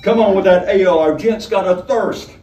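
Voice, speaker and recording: Storybook tavern madam, male reader: high, loud, flirtatious and rough-spoken, heard across a roomy space. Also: moderate pace, close to an everyday voice. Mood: bellowing. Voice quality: harsh, grinding. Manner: rustic colloquial speech, wheedling. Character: brash bellowing tavern madam, flirtatious and coarse. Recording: roomy, distant mic, background noise.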